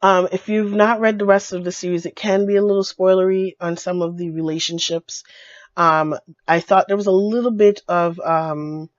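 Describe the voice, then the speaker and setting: A woman with a cold, her voice going hoarse, giving a casual video book review in a gushing way, full of fillers and repeated words. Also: low-pitched, dry recording. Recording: dull lo-fi dry sound.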